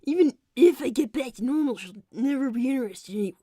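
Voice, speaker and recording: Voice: high-pitched, lively intonation, fast, warm tone, no room sound, studio quality. A performer voicing a worried, earnest young boy fox in an improvised cartoon livestream, speaking hesitantly. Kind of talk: improvised in-character livestream chat.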